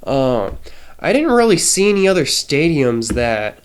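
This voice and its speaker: A man's voice: on the high side, big pitch swings, measured pace, clean tone. A man with a nasal, stuffy voice from a cold, casually explaining a card game deck with many hesitations. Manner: hesitant, frequent ums and restarts. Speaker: trading card game content creator.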